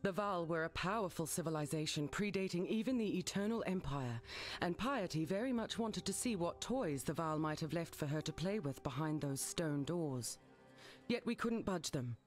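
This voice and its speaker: Actor playing dark fantasy game advisor, guarded, articulate, measured explanation, soft background music. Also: mid-range voice, natural voice. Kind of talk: video game character dialogue.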